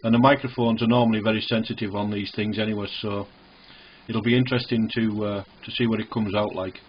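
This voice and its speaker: A man's voice: lowish voice, flat delivery, brisk, slight background noise. A man speaking as an amateur radio operator in a casual, hesitant, reassuring on-air contact with call signs. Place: small indoor radio shack. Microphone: small camera near the radio's speaker. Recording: dull, muffled camcorder recording in a radio shack.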